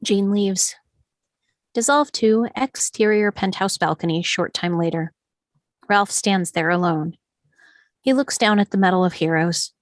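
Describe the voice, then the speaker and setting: A woman's voice: mid-range voice, measured pace, long pauses, no room sound. Woman narrating a screenplay, very dry sound. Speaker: stage directions narrator. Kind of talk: scripted narration of screenplay table read.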